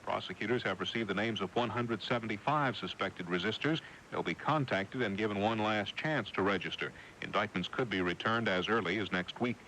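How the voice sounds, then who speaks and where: A man's voice: fairly low pitch, fairly quick, pushed and bright. An American man anchoring a radio newscast, reading scripted news stories. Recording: noisy 1980s AM radio broadcast.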